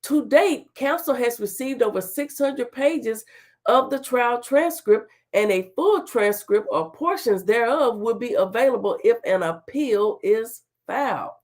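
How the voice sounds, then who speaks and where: A woman's voice: fairly high pitch, lively intonation, unhurried, a little mellow. A middle-aged woman with a stuffy cold, hosting her own talk show and reading court papers aloud, breaking off for sassy, chatty asides. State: stuffy, under the weather. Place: home studio with virtual background.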